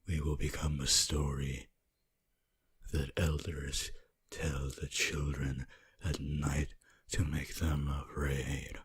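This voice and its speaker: Super deep breathy vampire, possessive n creepy seductive, slow asmr whispering. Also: male voice, level tone, slight background noise. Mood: darkly seductive. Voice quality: breathy, husky, often whispering. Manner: slow, theatrical, archaic phrasing.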